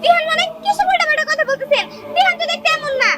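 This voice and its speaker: A man voicing a timid young villager who talks in a squeaky, fearful way. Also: racing, loud music behind the voice. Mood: scared. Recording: echoey, distant, noisy outdoors.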